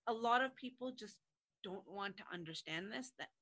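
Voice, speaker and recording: A woman's voice: mid-range voice, expressive, moderate pace, fairly bright tone. A woman guest on a podcast, talking conversationally and often repeating words while she searches for her phrasing.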